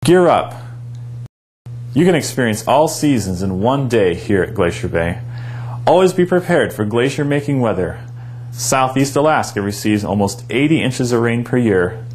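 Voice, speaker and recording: A man's voice: medium pitch, lively intonation, normal speed, darkish tone, slight background noise. A man working as a park ranger, giving visitors upbeat scripted advice in a guide's delivery. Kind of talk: scripted informational narration.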